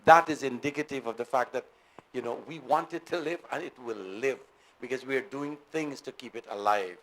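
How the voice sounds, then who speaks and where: A man's voice: mid-range voice, a little fast, a bit bright, low-quality recording. A middle-aged man from Guyana giving a formal, earnest speech to an audience and repeating key phrases for emphasis. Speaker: guest speaker.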